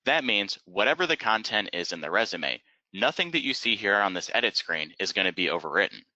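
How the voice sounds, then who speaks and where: A man narrating an instructional webinar as a software trainer, explaining methodically step by step in a close, dry, slightly dull recording. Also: fairly low pitch, big pitch swings, brisk, no room sound.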